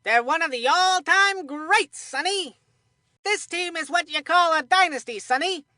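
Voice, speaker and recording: A woman voicing a folksy, excitable retired baseball commentator for a children's cartoon sports video game. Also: very high-pitched, unhurried, no echo, studio quality, heavily acted. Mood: upbeat, folksy. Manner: folksy, colloquial, exclamatory.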